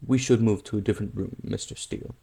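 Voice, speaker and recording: A formal, serious official in a comic dub, played by a man. His voice is gravelly and mellow, and he delivers his lines in a measured manner. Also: lowish voice, steady tone. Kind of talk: comic-book dub voice acting.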